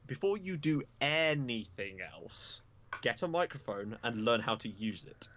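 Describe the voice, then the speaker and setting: A man's voice: mid-range voice, sing-song, unhurried, fairly bright tone, dull audio, a little hiss or hum. A young man chatting frankly as a podcast guest, self-deprecating and swearing casually. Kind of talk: casual chat.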